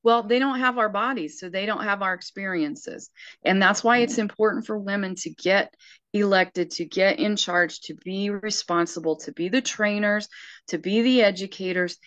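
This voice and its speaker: A woman's voice: mid-range voice, normal speed, fairly bright tone, dry recording, highs cut off. A sixty-year-old woman speaking earnestly and emphatically in casual conversation, often restarting her sentences. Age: 60s.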